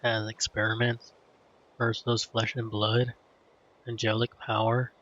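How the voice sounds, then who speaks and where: A man's voice: fairly low pitch, monotone, a little slow, fairly bright tone, slight background noise. A man commenting casually on a game he is playing, in brief, subdued remarks on a dull lo-fi mic. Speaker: gamer commentating a playthrough. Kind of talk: casual reactions to game dialogue. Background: faint game music.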